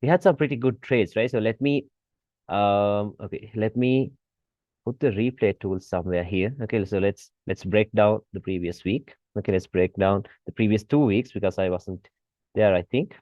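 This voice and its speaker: A man's voice: fairly low pitch, normal speed, dark tone, no echo, lo-fi sound. A man giving an informal screen-share tutorial as an instructor, repeating his points and often checking understanding with rhetorical questions. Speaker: forex trading instructor.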